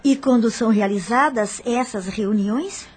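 A woman's voice: on the high side, measured pace, darkish tone, husky. A woman playing an anxious, devoted young widow in a radio drama, speaking earnestly and tenderly. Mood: earnest, curious.

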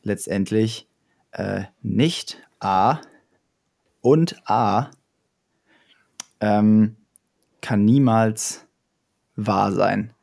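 A young man with a fairly low, mellow voice talks through an idea in casual conversation. He explains step by step, stopping often for fillers and starting sentences over. The delivery stays relaxed and unhurried. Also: creaky.

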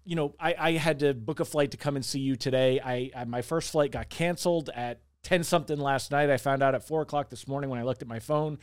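A man's voice: mid-range voice, steady tone, a little fast, hardly any pauses. Male, forties, interview guest. Conversational, self-reflective talker who repeats words while working through his thoughts. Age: 40s. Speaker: interview guest, small business owner.

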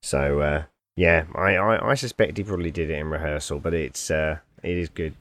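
A man's voice: very deep voice, fairly even intonation, normal speed, fairly bright tone. A man co-hosting a podcast, chatting in an amused, rambling way about an old radio comedy. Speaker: podcast co-host. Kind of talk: casual review chat.

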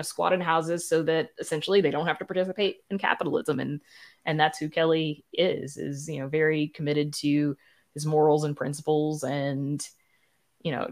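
A woman with a distinctly low voice talks as a guest on a casual interview podcast. She is candid and enthusiastic, speaking conversationally and effusively. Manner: enthusiastic, effusive about colleagues. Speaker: podcast guest, writer and producer.

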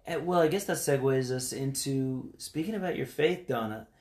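An interviewer speaks calmly and asks open questions, steering a personal conversation. The voice is recorded in an echoey room. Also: medium pitch, moderate pace. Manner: gentle, prompting questions.

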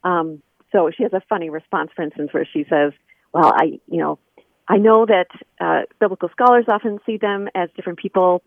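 A woman's voice: on the low side, fairly quick, vocal fry, no room sound. A woman guest explaining her scholarly work informally and conversationally in an interview over a remote call. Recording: thin phone-like line.

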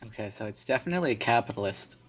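A man's voice: lowish voice, expressive, very fast. Man laughing as he guesses aloud. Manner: thinks out loud, laughs often. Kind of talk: casual chat, guessing aloud.

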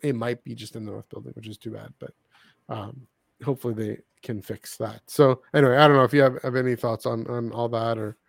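A man co-hosting a podcast in casual chat, with a halting, jokey delivery. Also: mid-range voice, steady tone, gravelly, no echo, studio quality. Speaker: podcast co-host.

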